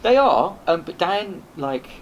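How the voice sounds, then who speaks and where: A man telling rambling anecdotes in a casual podcast chat, animated and full of restarts. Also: sits high, slow. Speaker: podcast host and guest. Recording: noisy background.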